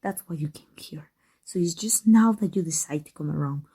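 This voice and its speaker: Girl doing a bitter villainess secretly in love, asmr roleplay, mellow husky voice w/ some fry, whispery, emotional. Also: sits low, moderate pace. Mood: shaken, wary. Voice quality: husky, breathy, often dropping to a whisper. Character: embittered female villain secretly in love with her rival. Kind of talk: ASMR roleplay, dramatic monologue.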